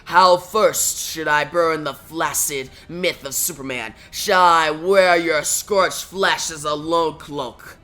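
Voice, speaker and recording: A man's voice: on the high side, fairly even intonation, slow, few pauses, bright, forceful tone. A man voicing a cruel, ancient fire giant who speaks in grandiose threats. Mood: gloating. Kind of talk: amateur comic book reading.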